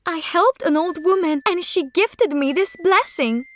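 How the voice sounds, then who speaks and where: A woman's voice: very high-pitched, a little slow, fairly bright tone. A woman voicing a humble, kind-hearted young girl in a fairy tale, polite and modest in manner. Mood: modest, earnest. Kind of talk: fairy tale character acting.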